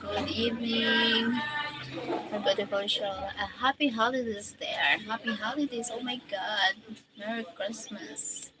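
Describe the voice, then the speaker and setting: Slow-talking Filipino woman in her forties. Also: fairly high pitch. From Philippines. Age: 40s. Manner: slow, unhurried greetings and thanks to viewers. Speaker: live streamer. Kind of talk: casual live chat, reading out viewer comments. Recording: loud background noise.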